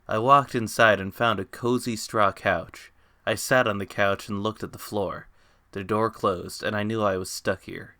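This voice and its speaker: Low, bright-voiced man narrating a story. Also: steady tone, unhurried, no echo, studio quality. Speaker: author reading own story. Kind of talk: dramatic fan fiction narration.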